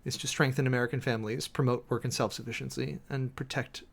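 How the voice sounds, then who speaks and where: Man, mid-range voice, theatrical, formal, preacherly, deliberate phrasing, emphatic pauses, dramatic reading style. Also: mid-range voice, steady tone, vocal fry, husky. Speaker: preacher and commentator hosting a show. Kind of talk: dramatic reading and close-reading commentary of a legal document.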